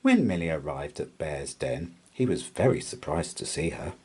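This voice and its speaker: A man reads a children's story aloud as narrator. His voice is mellow and husky, a little on the low side. He tells it expressively, with lots of melodic movement. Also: big pitch swings, normal speed.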